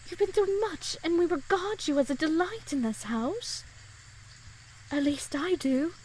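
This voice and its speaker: A woman plays a genteel young lady of eighteenth-century Vienna in an audio drama. Her voice is high, her speech formal, caring and easily flustered into apology. The recording has clear background noise. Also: lively intonation, a little slow, a little mellow, rough recording. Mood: firm, earnest. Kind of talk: period romance audio drama acting.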